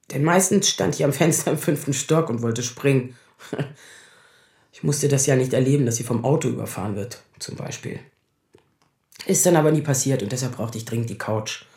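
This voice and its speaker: A woman's voice: deep voice, creaky, dark tone. In a roomy, echoing space, a woman in her thirties with a sardonic, defensive edge. She confides like a patient in therapy, in the natural style of a radio drama. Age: 30s. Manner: sardonic, confessional.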